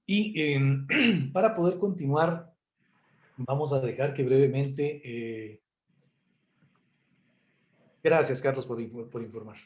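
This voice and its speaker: A man facilitating an online consultation, politely directing participants and often pausing on fillers. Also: medium pitch, warm tone, dull audio. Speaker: meeting facilitator.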